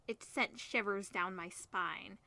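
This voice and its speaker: A woman reading a visual novel aloud as a let's player, expressive, mixing narration with casual reactions. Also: medium pitch, slow, vocal fry, no room sound. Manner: expressive, switches between narration and character lines.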